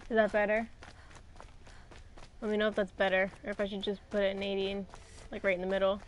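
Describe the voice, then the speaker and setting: A woman streaming a video game, chatting casually and reacting loudly to the play, her voice hoarse and scratchy from illness. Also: mid-range voice, little pitch movement, quick pace. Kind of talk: casual gameplay commentary.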